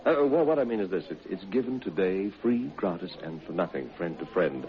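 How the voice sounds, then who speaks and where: A man's voice: low voice, lively intonation, fairly quick. An actor with a British accent playing a jovial man spinning a ghoulish tale over drinks in an old radio drama. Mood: storytelling. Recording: dull old radio recording.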